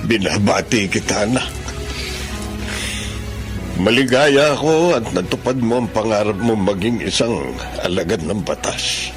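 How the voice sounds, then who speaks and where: Actor as a slow, low-voiced proud father. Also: soft background music, natural voice. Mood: proud, moved. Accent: Filipino. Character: proud, tender father of a new policeman. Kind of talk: Filipino radio drama acting.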